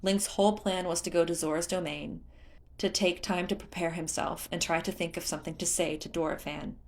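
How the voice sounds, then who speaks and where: Woman narrating a story, lowish voice. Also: steady tone, fairly quick. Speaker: fan fiction narrator. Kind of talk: expressive scripted narration.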